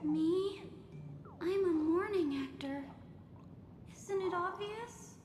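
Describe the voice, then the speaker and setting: A woman's voice: extremely high pitch, a little mellow, slight background noise. A woman voicing a melodramatic, self-pitying young female performer in a video game, theatrical and mournful. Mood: grandly sorrowful. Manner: theatrical, mournful, drawn-out delivery. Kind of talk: video game character voice acting. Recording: echoey, music behind.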